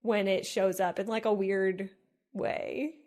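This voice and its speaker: A woman co-hosting a podcast, musing in an animated casual chat full of "like". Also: mid-range voice, slow pace. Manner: animated, musing, heavy use of "like". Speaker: podcast co-host.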